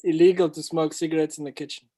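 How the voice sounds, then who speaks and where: A man's voice: a little high, little pitch movement, very fast, warm tone, a little hiss or hum. A man casually moderating a video call as host.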